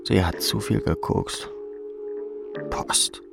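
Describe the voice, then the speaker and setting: A man narrating literary fiction in the second person. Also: slow. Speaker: audiobook narrator. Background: music.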